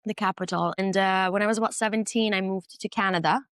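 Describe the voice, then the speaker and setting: A young woman from Iran speaks as a guest in a relaxed, reflective chat. She is candid and thoughtful, and her talk is full of ums and restarts. The sound is close and dry, with no room echo. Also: medium pitch, fast. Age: 20s. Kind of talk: casual conversation.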